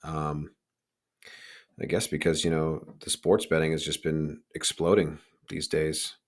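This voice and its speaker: A middle-aged man hosting a casual solo podcast, with a raspy, hoarse voice and a candid, halting, informal delivery. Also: very deep voice, flat delivery. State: hoarse, recovering from lost voice. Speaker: podcast host.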